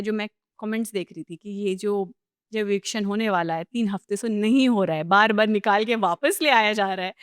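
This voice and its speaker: Slow, clear-voiced Indian woman interviewer. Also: mid-range voice, dry recording, studio quality. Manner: mixes Hindi and English. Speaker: podcast host and interviewer. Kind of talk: casual interview chat.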